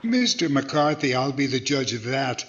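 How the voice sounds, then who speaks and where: An actor playing a stern, formal courtroom judge, exasperated and admonishing, in a 1940s radio comedy. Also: male voice, mid-range voice, big pitch swings, unhurried. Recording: dull audio with faint noise, clicks.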